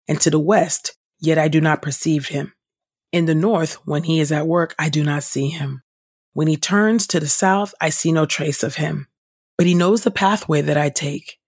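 A woman reading scripture aloud for a devotional podcast in a measured, deliberate, formal way. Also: low voice, a little mellow, breathy edge, no echo, clean studio sound. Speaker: scripture reader and podcast host.

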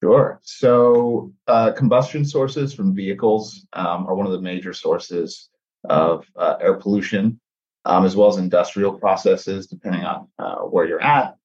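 A very low-voiced man from Mexico and Texas in his thirties, chatting casually as a podcast guest. He talks in a friendly, animated way, peppered with "uh" fillers. The recording sounds a little dull and lo-fi. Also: unhurried. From born in Mexico, raised in South Texas. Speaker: podcast guest, air pollution scientist. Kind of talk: casual interview talk.

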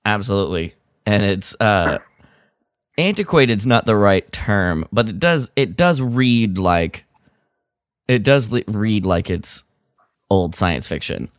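A man's voice: lowish voice, sing-song, takes it slowly, no echo, clean studio sound. A man co-hosting a podcast, chatting casually and sometimes searching for his words. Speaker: podcast co-host. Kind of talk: casual chat about Star Wars lore.

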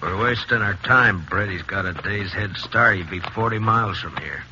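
A man's voice: fairly low pitch, normal speed, close to an everyday voice. An actor playing a middle-aged, reluctant Western sheriff and worried father, terse, grudging and rustic, in 1950s radio drama style. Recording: muffled, noisy 1950s radio recording.

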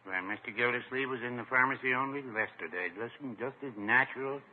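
An actor playing a mild, cautious older druggist in a 1950s radio comedy, with dry, hesitant understatement. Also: male voice, mid-range voice, a little fast, natural voice. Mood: mildly wry. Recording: dull, hissy 1950s radio recording.

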